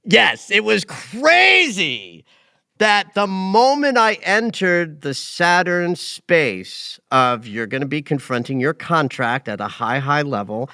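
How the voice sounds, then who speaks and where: A man in his late fifties hosts a casual astrology podcast. His voice sits a little high and moves in wide, lively swings, with emphatic peaks and quiet asides. The sound is dry and close. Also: takes it slowly, clean tone, no echo. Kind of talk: casual conversational chat.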